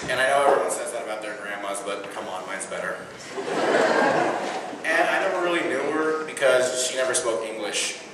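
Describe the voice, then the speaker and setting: A man's voice: medium pitch, brisk. Teenage boy doing stand-up in an echoey hall. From Indiana. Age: teens. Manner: self-deprecating, punchy delivery. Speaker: stand-up comedian. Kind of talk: stand-up routine. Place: large indoor venue with audience. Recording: echoey hall from far off with noise.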